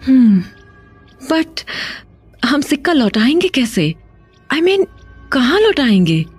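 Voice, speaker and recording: Man voicing a high-pitched earnest young woman. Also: very animated, slow pace, slightly rough, exaggerated voice. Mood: surprised, questioning. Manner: dramatic, mixes in English phrases. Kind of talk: animated horror story dialogue. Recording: faint music behind the voice.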